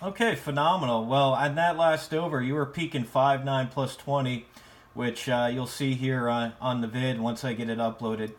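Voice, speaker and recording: An American man chatting casually as an amateur radio operator, with halting, repeated phrasing. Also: medium pitch, fairly even intonation, background noise. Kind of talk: casual ham radio conversation.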